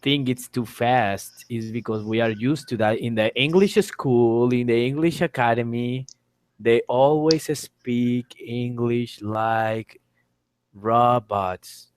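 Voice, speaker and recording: A man's voice: mid-range voice, fairly even intonation, slow, a little hiss or hum. A man teaching an informal online exam lesson, explaining things step by step and repeating his phrases. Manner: explanatory, repeats phrases and spells letters aloud. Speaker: English teacher, exam tutor. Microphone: online call microphone.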